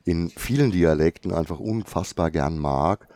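A man with a Franconian accent hosting a casual chat, enthusiastic and quick to praise. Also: low-pitched, mellow, no echo. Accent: Franconian German. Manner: enthusiastic, repeats "herrlich, wundervoll".

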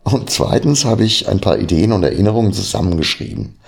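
Deep gravelly guy reading his own horror story, hushed and half whispered, super husky. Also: sing-song, brisk. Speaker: podcast host.

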